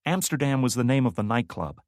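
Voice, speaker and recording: A man's voice: medium pitch, expressive, brisk, dry recording, studio quality, voice pushed up, lightly acted. A man voicing a brash, foul-mouthed young woman soldier who tells a story with boisterous, swearing glee. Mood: gleeful telling.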